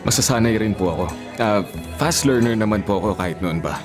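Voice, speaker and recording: A man's voice: lowish voice, expressive, brisk, lightly acted. An actor playing a sincere young male suitor, respectful, hesitant and earnest, in a radio drama. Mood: deferential. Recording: prominent music behind the voice.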